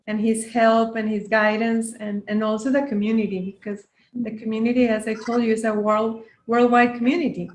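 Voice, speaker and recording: A woman's voice: fairly high pitch, echoey room, slight background noise. A woman from Costa Rica, a yoga teacher, speaking earnestly and reflectively as an interview guest. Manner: soft-spoken, earnest, frequent restarts.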